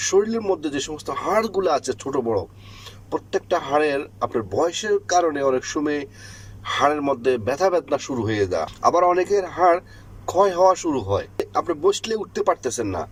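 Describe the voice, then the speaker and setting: A man's voice: fairly high pitch, a little hiss or hum, rough recording. A man presenting health tips, explaining step by step in an informal, emphatic and repetitive way. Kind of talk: informal explainer talk to viewers.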